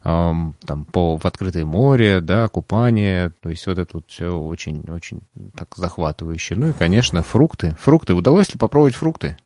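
Мужчина с низким голосом, ведущий беседы. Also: male voice, sits low, animated, normal speed, hardly any pauses, a little mellow, no echo, clean studio sound. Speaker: host. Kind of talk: casual travel conversation.

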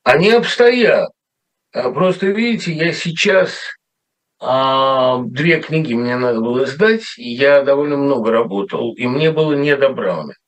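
A man's voice: mid-range voice, animated, a little slow, rough recording. A middle-aged male writer talking in a casual, rambling monologue, often correcting himself with wry asides. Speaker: writer commenting on a radio show.

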